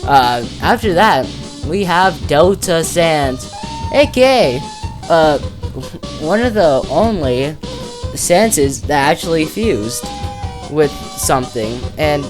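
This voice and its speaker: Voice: medium pitch, expressive, slow. A casual speaker giving unscripted, rambling commentary, thinking aloud with slangy, hesitant phrasing. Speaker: video creator presenting a character ranking. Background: music, plucked guitar.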